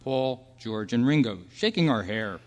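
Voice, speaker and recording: A man acting in a staged radio drama, with earnest, measured storytelling. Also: mid-range voice, lively intonation, darkish tone, natural voice. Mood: wistful, earnest. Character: Roy, dead thirty-three-year-old man's wistful, peaceful spirit. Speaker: actor and announcer. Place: live club meeting in a hall. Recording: echoey hall with long reverb.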